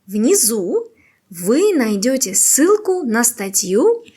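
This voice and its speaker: A woman teaching a beginner language quiz, with patient, slow and carefully exaggerated pronunciation. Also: on the high side, very animated, darkish tone, husky, faint music behind.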